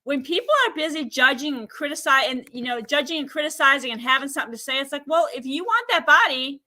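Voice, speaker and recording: A woman guest on a talk show, chatting in an animated way and acting out what people say. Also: sits high, fast talker. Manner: animated, acts out quoted speech. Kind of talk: casual chat.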